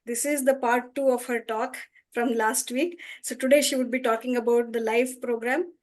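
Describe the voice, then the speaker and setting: Indian woman host, polite intro, highish even voice, sounds a bit far off. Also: moderate pace. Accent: Indian English.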